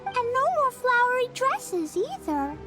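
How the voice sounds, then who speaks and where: A tiny fairy companion, with a squeaky, extremely high childlike voice that swings up and down with lively excitement. She is chatty and bossy in a video game cartoon style, with music clearly playing underneath. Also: unhurried, heavily acted. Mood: curious, chatty. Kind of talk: animated video game voice acting.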